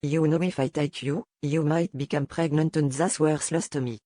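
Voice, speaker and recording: A woman's voice: deep voice, fairly even intonation, mellow, no room sound, studio quality, pitched below the performer's own voice. Woman voicing a cold, controlling male captor. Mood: menacing. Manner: formal, measured, threatening. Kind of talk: dramatized audiobook character dialogue.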